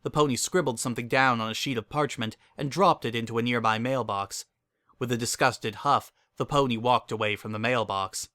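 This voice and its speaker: A man reads a story aloud as narrator, with dramatic delivery. His mid-range voice is bright and forceful, captured close and dry. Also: medium pitch, fairly quick, no room sound.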